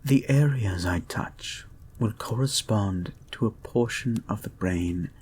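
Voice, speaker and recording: A man playing a soothing, possessive and gently controlling therapist in an intimate hypnosis audio roleplay, speaking in a soft, breathy, hushed and deliberate way. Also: on the low side, slight background noise. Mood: tender, clinical. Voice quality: soft, breathy, husky, often near a whisper. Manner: slow, hushed, deliberate, coaxing.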